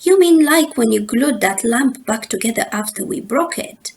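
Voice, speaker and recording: A woman voicing an excitable, easily upset young bear cub in a storybook, exclaiming dramatically. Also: fairly high pitch, normal speed, gravelly. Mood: puzzled.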